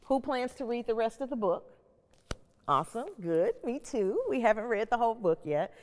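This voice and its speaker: A middle-aged woman moderating a reflective discussion, speaking deliberately and emphatically with repeated phrases. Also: on the high side, animated, a little mellow. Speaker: host and panel moderator. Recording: echoey hall with long reverb.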